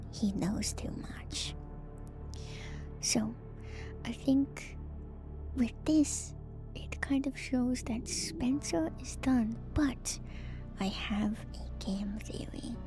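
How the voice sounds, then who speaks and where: Slow, whispering ASMR woman over music. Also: on the high side. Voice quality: soft, breathy whisper. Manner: slow, musing, conversational asides. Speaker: streamer hosting a lore read-through. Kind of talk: whispered ASMR, reading a report aloud with casual commentary.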